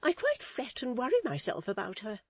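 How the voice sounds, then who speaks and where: A woman's voice: a little high, very animated, a little slow, a little mellow, slightly rough, dry recording, pitched above the performer's own voice, full character voice. A woman voicing a pompous, self-pitying matronly lady in a storybook reading, with emphatic, declamatory delivery and theatrical lamenting. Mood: fretful disdain. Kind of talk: dramatized Victorian novel reading.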